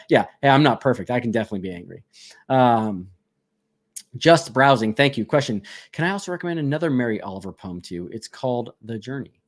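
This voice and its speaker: Chatty man reading out viewer questions. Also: medium pitch. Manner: reads chat questions aloud and answers chattily, laughing often. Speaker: livestream host answering viewer questions. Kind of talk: casual live Q&A chat.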